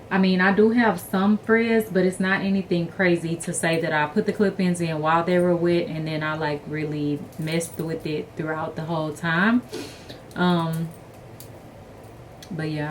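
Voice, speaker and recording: A young woman, chatting upbeat to viewers and calling them y'all. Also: fairly low pitch. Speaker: hair vlogger. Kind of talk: casual vlog chat. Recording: distant mic with light room noise.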